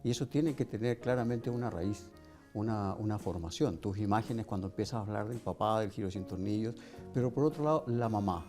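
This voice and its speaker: A man's voice: on the low side, a little fast, over music. A man with a Chilean accent hosting an interview, chatty and roundabout in how he asks questions. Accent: Chilean Spanish. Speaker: interviewer, TV host. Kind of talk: conversational interviewing.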